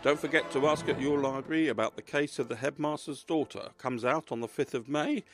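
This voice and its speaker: A man speaking as an author and host, talking reflectively about his own books in a measured, deliberate, gravelly and husky voice. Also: mid-range voice, over music.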